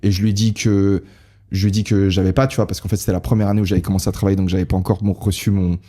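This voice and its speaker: Husky fast-talking young man. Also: low voice, flat delivery, warm tone. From half Peruvian. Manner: joking, talkative, laughs often. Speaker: podcast co-host. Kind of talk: casual podcast chat. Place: lives in Spain.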